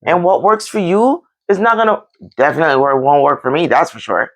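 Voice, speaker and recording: A Jamaican woman with a low voice and plenty of gravelly fry hosts a casual discussion. She talks bluntly and emphatically, repeating phrases to press her point. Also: very animated, fairly quick. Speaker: discussion show host.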